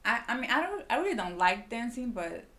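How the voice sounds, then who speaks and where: A woman's voice: fairly high pitch, sing-song, brisk, sharp tone. Giggly young woman with a Swedish accent. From Liberia, raised in Sweden. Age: 20s. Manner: rambling, giggly, lots of fillers. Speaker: podcast guest. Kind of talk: casual chat.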